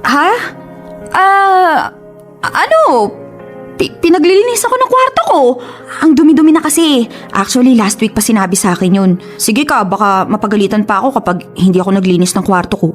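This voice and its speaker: A woman's voice: fairly high pitch, expressive, moderate pace, lightly acted. A woman with a Filipino accent playing a young, guilt-ridden older sister in a radio drama, hesitant and pleading as she makes excuses. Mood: flustered, evasive. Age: young adult. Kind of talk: Tagalog radio drama acting. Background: music.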